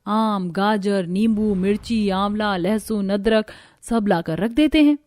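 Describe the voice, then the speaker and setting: A woman's voice: medium pitch, slow pace, a little mellow, no room sound. A woman storyteller giving scripted narration for a family cartoon. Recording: music bed under the voice.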